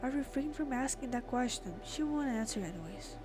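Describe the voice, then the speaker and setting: A woman doing a let's play, reading game text aloud and adding expressive, informal reactions. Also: high-pitched, a little fast. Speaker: gamer, let's player. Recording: clear game music behind the voice. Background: game music.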